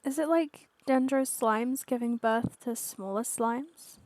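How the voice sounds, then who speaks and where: A woman's voice: a little high, slow pace, a little mellow, no echo. A woman streamer giving casual commentary while playing through a video game.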